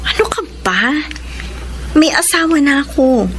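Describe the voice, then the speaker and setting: A young Filipina wife who studies and feels put upon. Her voice is high, defensive and exasperated in a radio soap style, over faint background noise. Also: lively intonation, unhurried, fairly bright tone. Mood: confiding. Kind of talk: Filipino radio drama acting.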